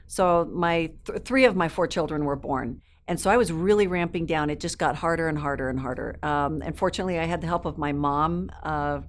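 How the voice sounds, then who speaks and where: A woman's voice: low-pitched, slight background noise. A woman in her fifties who grew up in South America, an interview guest telling stories in an upbeat, fluent way with a few ums. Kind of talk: casual interview chat.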